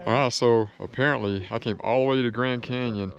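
A man's voice: mid-range voice, fairly even intonation, brisk, dull audio. A man in his thirties with a Southern American accent, chatting casually at an outdoor overlook while filming himself at arm's length. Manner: friendly, chatty, talks to strangers and animals. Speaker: vlogger. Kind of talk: casual travel vlog. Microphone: camera held at arm's length. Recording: background guitar music.

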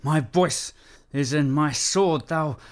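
An actor playing a fierce, grieving, vengeful warrior in a classical radio drama, declaiming impassioned verse. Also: male voice, on the high side, takes it slowly. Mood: furious, scornful.

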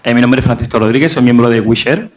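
A man speaks up from the floor of a large chamber with a polite, quick-fire question. His pitch sits in the middle and the words come fast, with no pause between them. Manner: polite, rapid. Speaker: audience member asking a question.

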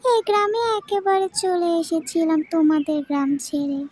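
A woman voicing a vengeful, wary female cartoon ghost, shrill, dramatic and drawn-out. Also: a little mellow, a little hiss or hum. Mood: bitter, vengeful.

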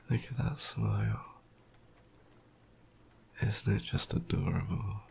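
A tired young man with a croaky voice, softly whispering an affectionate boyfriend roleplay close to the mic. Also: low voice, big pitch swings, slow pace, a little hiss or hum. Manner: soft, affectionate whispering with quiet laughs. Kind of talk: ASMR roleplay.

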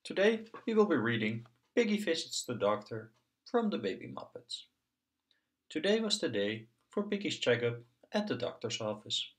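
A man hosting a story time, reading aloud to young children in an expressive, singsong way. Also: mid-range voice, moderate pace. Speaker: children's story narrator and channel host.

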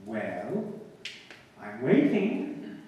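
An exasperated, soft-hearted father, played by a man, questions sternly and stammers in old-time radio comedy style. His pitch swings widely. He sounds far off in a very echoey hall with faint noise. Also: wildly swooping pitch, extremely slow, exaggerated voice. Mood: stern, flustered. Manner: stern, flustered, stammering interrogation. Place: small auditorium stage, audience in dark. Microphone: far camera.

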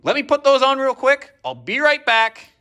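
A man's voice: very high-pitched, big pitch swings, normal speed, very noisy. A young man casually walking viewers through his garage build, easygoing and poking fun at his own mistakes, filmed on an action camera. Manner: easygoing, self-deprecating, talks straight to viewers. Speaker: garage builder showing a project. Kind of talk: casual how-to workshop vlog. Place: large indoor workshop garage. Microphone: handheld or body-worn action camera.

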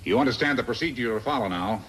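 Man acting a lively scheming businessman, old radio western. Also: medium pitch, fast, natural voice, rough recording. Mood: businesslike. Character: scheming wealthy mine agent with rich clients. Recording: old radio recording with loud music.

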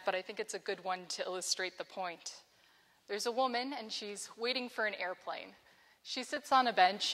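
A young woman vicar preaching a sermon in an earnest, measured way, on a lapel mic in a large church sanctuary. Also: medium pitch, a bit bright. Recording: long church reverb.

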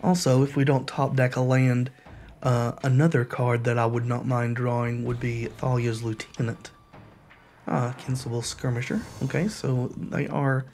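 A man's voice: medium pitch, a little mellow. A man commentating his own online card game, explaining card rules and then muttering along with the plays while he recovers from a cold. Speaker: gameplay commentator. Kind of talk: casual gameplay commentary.

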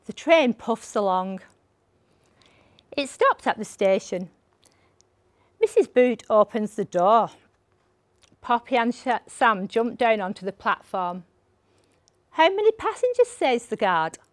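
An older woman reading a children's bedtime story aloud, with expressive delivery for young listeners. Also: mid-range voice, slow pace, long pauses, dry recording. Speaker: library storyteller. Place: indoor set with a sofa. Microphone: lapel mic.

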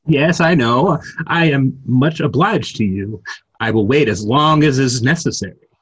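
A man's voice: medium pitch, a little slow, a bit bright, slightly rough, low-quality recording. A man playing an earnest, restrained clergyman with formal, clipped politeness in a play reading. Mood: reserved, polite. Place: room at home, on a video call.

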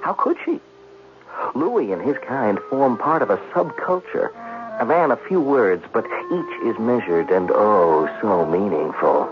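An actor playing an anxious, indignant gambler, narrating and pleading theatrically in a 1970s radio drama. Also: male voice, lowish voice, expressive, measured pace. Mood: bitter, musing. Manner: theatrical, stammering, nervous. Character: anxious, indignant male gambler in debt, narrating his plight. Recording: dull vintage radio recording, music behind.

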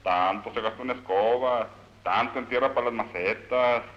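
A man's voice: mid-range voice, moderate pace, lightly acted. A man with a Mexican accent playing a cynical, pompous, scheming political boss in a satirical cartoon. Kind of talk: satirical animated film dialogue. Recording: dull old film soundtrack.

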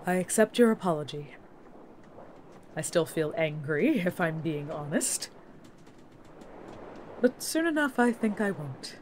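A woman playing an earnest, high-minded young woman in a fantasy audio drama, speaking formally in measured, archaic phrases. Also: expressive, darkish tone, slight background noise.

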